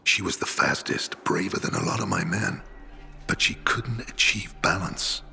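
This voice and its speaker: An actor playing a weary older veteran leader of survivors in a video game cutscene, grave and reflective, with a rough, gravelly voice. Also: male voice, deep voice, moderate pace, sharp tone, soft background music, heavily acted. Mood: earnest, solemn.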